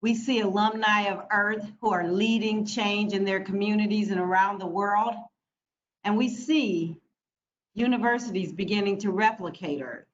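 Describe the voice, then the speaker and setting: An American woman delivers a prepared formal speech in a deliberate, emphatic manner with rhetorical repetition. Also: mid-range voice. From United States (Michigan). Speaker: speaker from a funding organization. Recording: dull lo-fi audio with background noise.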